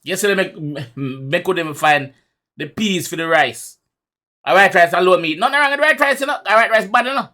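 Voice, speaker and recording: A man's voice: sits high, normal speed, bright, forceful tone. A man with a Jamaican accent giving casual, emphatic music reaction commentary in Patois, often repeating his phrases. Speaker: YouTube reaction host.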